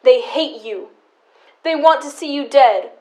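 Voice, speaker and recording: High-voiced, embittered, stubborn sister played by a young woman. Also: unhurried, darkish tone. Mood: angry. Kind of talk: high school audio drama acting.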